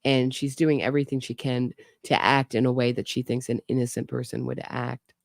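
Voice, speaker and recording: A woman's voice: extremely low pitch, level tone, fairly quick, gravelly, no echo, clean studio sound. A woman giving skeptical, wry commentary on a true crime case and speaking straight to her audience. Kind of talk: casual commentary over interrogation clips.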